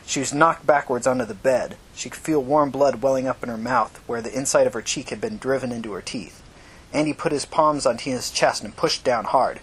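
A man's voice: fairly quick, husky. A man narrating dramatic fiction as an audiobook reader. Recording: noisy recording with low rumble.

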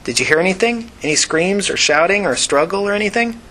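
A man voicing a high-pitched, blunt young street woman. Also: lively intonation, fairly quick, pushed and bright, a little hiss or hum, low-quality recording. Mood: eager, probing. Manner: questioning, direct, casual.

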